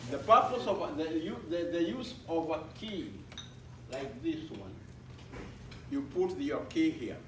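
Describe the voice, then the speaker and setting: A man with a Kenyan accent and a high, light voice speaks haltingly, circling back over his words. He is picked up from across an echoey hall. Steady background noise sits under his voice. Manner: halting, repeats phrases. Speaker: visiting speaker. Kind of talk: informal talk to a club. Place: breakfast meeting hall. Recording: echoey room recorded from far off, noisy.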